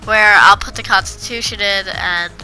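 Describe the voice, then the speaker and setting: A woman's voice: mid-range voice, fairly even intonation, normal speed, bright, forceful tone, soft background music, poor audio. A woman with a cold, sounding congested, chatting casually over her gameplay and rambling with frequent restarts. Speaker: gaming video creator.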